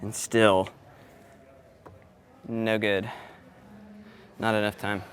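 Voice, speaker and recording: A man talks casually while setting up sound gear at an event venue. His running commentary is half muttered to himself, with exclamations. Clear background noise and clatter sit behind his voice. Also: fairly low pitch, flat delivery, extremely slow. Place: event venue during setup. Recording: noisy on-location vlog audio with clatter.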